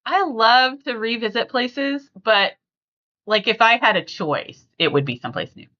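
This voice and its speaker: A woman hosting a casual family podcast, chatting conversationally and thinking out loud as she talks. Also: a little high, lively intonation, moderate pace, dull audio. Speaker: podcast host.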